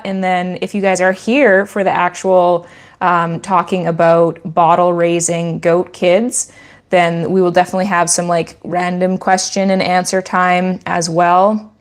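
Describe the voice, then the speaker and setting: Low-voiced Canadian woman chatting on a livestream. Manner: chatty, explanatory, teacherly; rambling with frequent restarts. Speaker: goat farmer and channel host. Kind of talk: casual livestream chat and Q&A.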